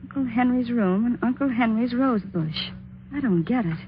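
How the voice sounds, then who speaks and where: An actress playing an anxious, caring young married niece, earnest and dramatic in the style of 1950s radio drama. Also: female voice, fairly high pitch, expressive, normal speed, a little hiss or hum, close to an everyday voice. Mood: puzzled. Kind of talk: 1950s radio drama acting with first-person narration. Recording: muffled old radio recording.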